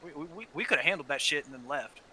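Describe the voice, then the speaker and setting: Very bright, forceful man chatting about games. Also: fairly high pitch, animated, fast, slight background noise. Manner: frequent swearing, heated and opinionated. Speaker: gaming channel co-host. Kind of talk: casual gameplay chat about story.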